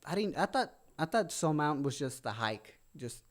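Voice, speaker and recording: A man's voice: on the high side, sing-song, moderate pace. A man in his thirties talking casually as a guest, rambling with lots of fillers and frequent laughs. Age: 30s. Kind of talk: casual chat.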